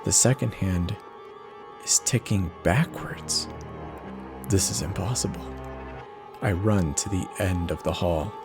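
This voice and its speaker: A man narrates horror in a low, theatrical voice. His delivery is measured and intense, taking its time over each phrase, with faint music underneath. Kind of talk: dramatic first-person horror reading.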